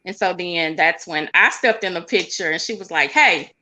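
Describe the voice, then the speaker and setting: A woman actor on a talk show as a guest, chatty and animated as she tells how she joined the project. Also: fairly low pitch, normal speed, poor audio. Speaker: stage play actor, guest. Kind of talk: guest interview.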